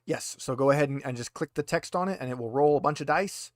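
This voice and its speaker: A man's voice: mid-range voice, fast, no echo, studio quality. A man casually running an online tabletop roleplaying game as game master, with a teasing, joking manner.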